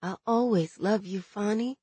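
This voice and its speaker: A woman voicing a loving, steadfast young woman in an audiobook, speaking in a gentle, earnest and reassuring way. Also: medium pitch, expressive, slow, no echo. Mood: tender, earnest. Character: loving, steadfast pregnant young woman soothing her jailed lover. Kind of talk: dramatic audiobook character dialogue.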